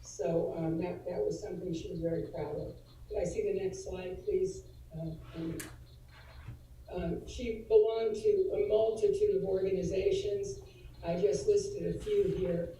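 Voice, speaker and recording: A woman, slightly hoarse from allergies, giving an earnest tribute at a virtual school board meeting, with frequent fillers and restarts. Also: lowish voice, fairly even intonation, a little slow, warm tone, slight background noise. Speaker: adult education administrator presenting to school board. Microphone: video call. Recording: echoey room, distant from the mic, faint ambience.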